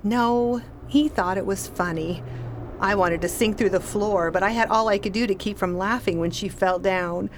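An actress playing a wry, weary, irritable pregnant wife with a dry manner in a radio play. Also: female voice, mid-range voice, moderate pace, a little hiss or hum, lightly acted.

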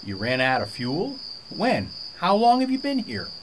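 A man's voice: high voice, huge pitch swings, unhurried, heavily acted. A man playing a brusque, suspicious government agent in a radio drama. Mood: interrogating. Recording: noisy, with cricket-like background.

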